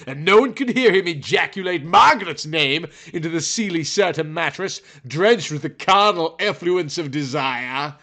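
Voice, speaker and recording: A man's voice: fairly high pitch, animated, normal speed, few pauses, bright, forceful tone, soft background music. A man reading fiction aloud as a dramatic narrator, with an emphatic, theatrical delivery. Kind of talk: dramatic, overwrought scripted narration.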